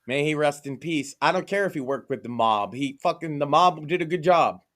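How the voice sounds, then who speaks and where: Brash, profane man, higher voice. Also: lively intonation, normal speed, a bit bright. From Massachusetts. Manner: profane, emphatic, opinionated. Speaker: podcast co-host. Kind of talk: casual podcast chat.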